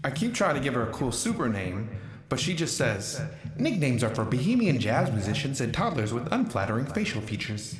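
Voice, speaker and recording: Actor as a wry male narrator-counselor, lively pitch, roomy sound. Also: a little fast, faint music behind, close to an everyday voice. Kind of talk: audio drama narration.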